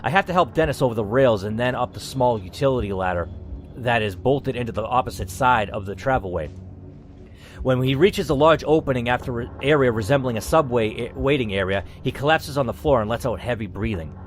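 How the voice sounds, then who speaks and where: A man hosting a dramatic story reading, who reacts out loud and swears as he weighs each choice. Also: medium pitch, fairly quick, slight background noise. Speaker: host reading an interactive story.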